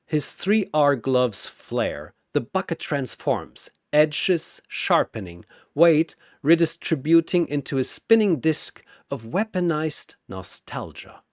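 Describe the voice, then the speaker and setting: A man delivering dramatic scripted battle narration in a theatrical, deliberate way. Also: a little high, very animated, no room sound, clean studio sound.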